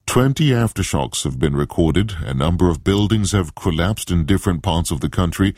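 A man reading a scripted news bulletin in a measured, formal delivery. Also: deep voice, darkish tone, dry recording, clean studio sound. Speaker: newsreader.

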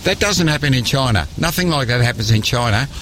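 A man's voice: mid-range voice, animated, a little fast, fairly bright tone, a little hiss or hum. An older man, a businessman talking informally in an interview in a blunt, emphatic way.

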